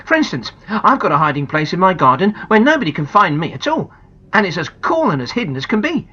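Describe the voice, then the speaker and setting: A woman's voice: on the low side, big pitch swings, fairly quick, sharp tone, husky, full character voice. A woman voicing an enthusiastic young boy sharing a plan, in a slightly dull recording. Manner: enthusiastic, persuasive.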